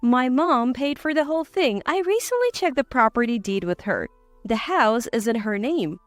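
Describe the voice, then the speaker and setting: A woman's voice: a little high, animated, normal speed, no echo, faint music behind, natural voice. A woman voicing a cool-headed, defiant wife in a dramatized story, assertive and cutting. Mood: cool, scornful.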